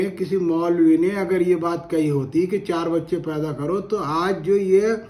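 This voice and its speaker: Higher-voiced man giving commentary. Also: darkish tone. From India. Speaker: commentator on current affairs. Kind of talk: spoken opinion commentary to camera.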